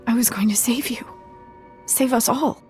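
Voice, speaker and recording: An actress playing a remorseful village matriarch in a video game, speaking softly and earnestly to plead for her people. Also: on the high side, moderate pace, soft background music, natural voice. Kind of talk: video game cutscene acting.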